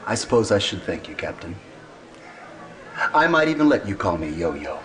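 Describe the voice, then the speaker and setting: Game role: jocular grunt, boastful and cheeky, low pitch with big swings. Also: male voice, big pitch swings, noisy background. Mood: amused, chummy. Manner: jocular, drawn-out, chuckling. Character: cheeky, boastful male low-ranking soldier. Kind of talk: video game voice acting.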